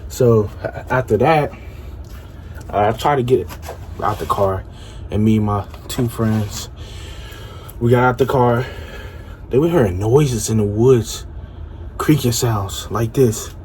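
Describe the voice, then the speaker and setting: A man's voice: mid-range voice, slow, pauses often, darkish tone. Stuttering young man, noisy recording. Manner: stuttering, repeats himself. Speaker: storyteller. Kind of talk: casual animated storytelling.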